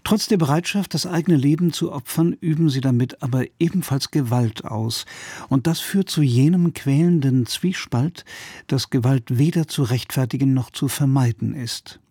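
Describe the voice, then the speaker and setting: A man presenting a radio play with a formal, scripted introduction and deliberate phrasing. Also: mid-range voice, dark tone, slightly rough, no echo, studio quality.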